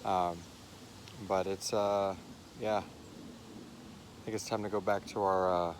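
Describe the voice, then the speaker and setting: American man, low voice, informal rambling co-host answering questions casually, some ambient noise. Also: little pitch movement, slow. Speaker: co-host and writer of a horror fiction series. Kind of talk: casual Q&A chat. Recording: clear background noise and ambience.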